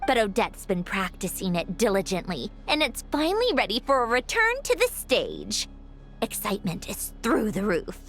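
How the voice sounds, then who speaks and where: Cool-headed young game character, matter-of-fact but expressive, bit of music under her. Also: medium pitch, moderate pace. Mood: reflective, appreciative. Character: composed, analytical young woman, frank and reserved. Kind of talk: video game dialogue acting.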